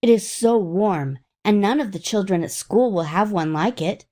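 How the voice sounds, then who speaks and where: A woman's voice: mid-range voice, moderate pace, a little mellow, natural voice. A woman voicing a carefree, eager little schoolgirl in a children's storybook. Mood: carefree, wishful.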